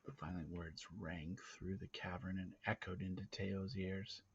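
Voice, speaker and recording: A man reading a story aloud as narrator, with a dramatic, expressive delivery. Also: low voice, unhurried, a little hiss or hum. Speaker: reader of a children's novel. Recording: dull, lo-fi recording.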